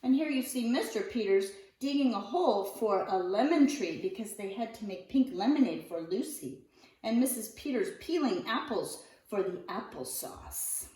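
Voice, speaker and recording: Lady reading a kids book, really singsong and unhurried, echoey room, bit far from mic. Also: on the high side. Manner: expressive, deliberate phrasing with drawn-out key words. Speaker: picture-book reader. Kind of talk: read-aloud storytelling for young children. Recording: roomy echo, distant mic.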